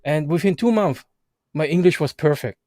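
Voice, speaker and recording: German-native man hosting a livestream, fairly high voice, dry close sound, halting and animated. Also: no room sound. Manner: halting, restarts and fillers, animated. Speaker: vlog host. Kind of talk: casual livestream chat. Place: Edmonton, Canada.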